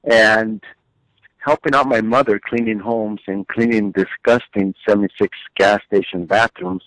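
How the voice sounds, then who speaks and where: Man, middle-aged, from Chile, interview guest, casual storytelling, fillers, low voice, dry sound. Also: steady tone, a little slow, fairly bright tone, dry recording, poor audio. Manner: casual, with frequent fillers and repeated words. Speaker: guest, business owner. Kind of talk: conversational storytelling.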